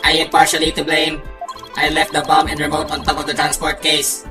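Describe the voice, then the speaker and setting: A smug male bomb disposal expert, played by a woman, pitched low. The delivery is pompous, precise and condescending. It is a game fan dub with music clearly behind. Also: monotone, fairly quick, echoey room, distant mic, close to an everyday voice.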